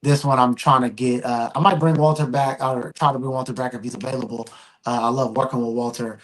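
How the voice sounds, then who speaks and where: A man who runs a comic publisher and convention, chatting casually in a relaxed, rambling way with many fillers. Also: mid-range voice, fairly quick, rough recording. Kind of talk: casual podcast chat.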